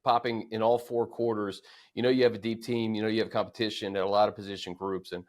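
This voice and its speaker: A man's voice: lowish voice, little pitch movement, fast. An American man hosting a sports show, talking conversationally and stressing key points as he recaps stats. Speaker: sports podcast host. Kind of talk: casual sports talk.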